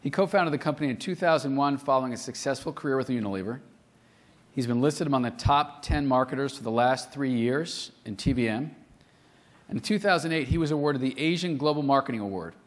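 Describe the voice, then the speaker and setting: A man's voice: medium pitch, brisk. A man acting as event host who reads out formal speaker biographies at a conference. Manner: formal, reading bios. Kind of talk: read speaker introduction. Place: conference hall.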